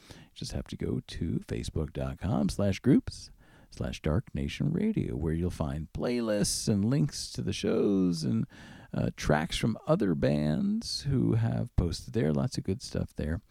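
Low, mellow male radio DJ with lively intonation. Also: measured pace, vocal fry, dry recording, clean studio sound. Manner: conversational, informal. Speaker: radio DJ and show host. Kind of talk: casual music radio presenting, announcing tracks.

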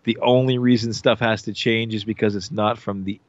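A man's voice: on the low side, fairly quick, dry recording, lo-fi sound. Man recapping an episode, filler-heavy. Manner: rambling, filler-heavy, animated retelling. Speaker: podcast host recapping a TV episode. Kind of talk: casual chat.

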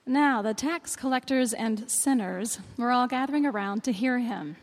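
A woman reading a long Bible passage aloud in church, in a measured, clear way. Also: on the high side. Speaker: scripture reader. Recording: long reverb of a large hall.

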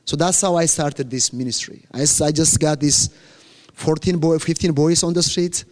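A man's voice: on the high side, fairly even intonation, normal speed, big-room sound. A young man from Ethiopia with an Ethiopian accent, speaking English as a second language while giving an earnest, plain-spoken testimony to a church. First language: Amharic. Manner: earnest, plain-spoken, self-correcting, joking about his accent.

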